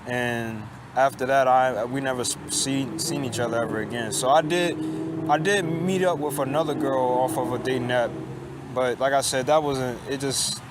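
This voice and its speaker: Man in his late 20s, halting and downcast. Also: mid-range voice, fairly even intonation, background noise. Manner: halting and repetitive, with frequent restarts and swearing; resigned, downcast tone. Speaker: vlogger. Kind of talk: casual confessional monologue. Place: outdoors on a college campus.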